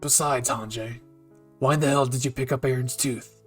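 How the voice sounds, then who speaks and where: A man's voice: medium pitch, steady tone, moderate pace, a bit bright, slightly rough, soft background music. A man voicing a stern, blunt male captain who speaks curtly and dryly. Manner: curt and dry.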